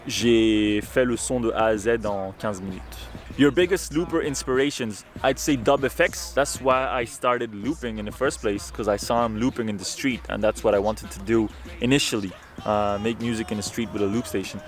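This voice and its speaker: A man's voice: lowish voice, fairly even intonation, normal speed. A young man who grew up in Ireland, casually reading out fan questions and answering them in a candid, laid-back way for his vlog.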